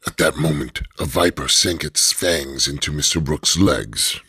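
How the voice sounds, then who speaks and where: A man narrating an adventure radio drama in a measured storytelling manner. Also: extremely low pitch, bright, forceful tone, slightly rough, no room sound, clean studio sound.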